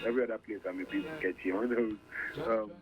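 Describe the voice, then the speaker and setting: Low-voiced rapper man, thoughtful and hesitant. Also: steady tone, brisk, lo-fi sound, music in the background. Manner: reflective, hesitant, many "um"s. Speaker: guest rapper. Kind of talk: radio interview.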